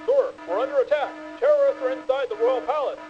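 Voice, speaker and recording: A man's voice: extremely high pitch, fast talker. A man playing an alarmed palace guard in a video game cut-scene, urgent in his delivery. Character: alarmed royal guard reporting an attack. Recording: dull game audio over music, gunfire.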